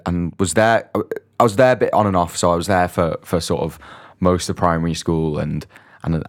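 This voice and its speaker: A young man, a podcast guest from Dubai and London, talking casually and hesitantly with many restarts. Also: very deep voice. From grew up mostly in Dubai, born in London. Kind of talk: casual interview chat.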